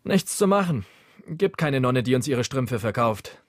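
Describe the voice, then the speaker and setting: A man's voice: mid-range voice, lively intonation, a little slow, background noise, close to an everyday voice. A man playing a cocky, mocking small-time crook in an audio drama. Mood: scornful.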